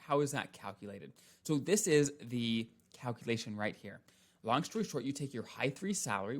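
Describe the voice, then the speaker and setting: Man explaining things casually, step by step. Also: medium pitch. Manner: conversational, step-by-step, with frequent check-in tags. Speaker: financial advisor presenting an explainer.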